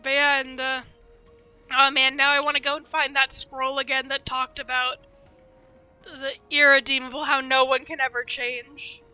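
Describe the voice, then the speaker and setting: Very bright, high-voiced woman game streamer. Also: little pitch movement, normal speed. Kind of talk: live commentary on a story game. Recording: faint game music behind.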